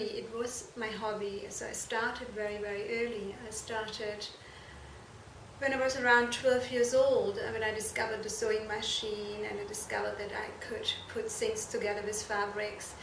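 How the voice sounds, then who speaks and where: A woman from Germany, answering interview questions earnestly and haltingly, correcting herself as she goes. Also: on the high side, steady tone, a bit bright. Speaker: fashion and shoe designer being interviewed. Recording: echoey room, distant mic, noisy background.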